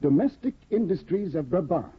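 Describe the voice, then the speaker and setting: An actor playing an eager, naive young scholar and newlywed husband in a 1950s radio drama, fussy and stammering. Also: male voice, a little high, animated, normal speed, breathy edge. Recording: tinny old radio broadcast.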